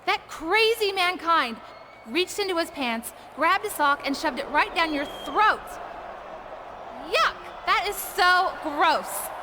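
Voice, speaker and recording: A woman playing a perky, supportive young friend in a video game, chatty and expressive. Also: extremely high pitch, takes it slowly, background noise, full character voice. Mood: disgusted.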